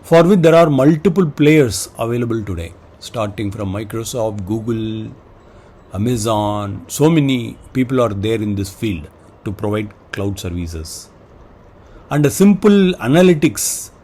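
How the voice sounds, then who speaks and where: A man giving an explanatory presentation, speaking in halting phrases and listing items one by one. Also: medium pitch, darkish tone. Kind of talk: explanatory slide presentation, semi-improvised.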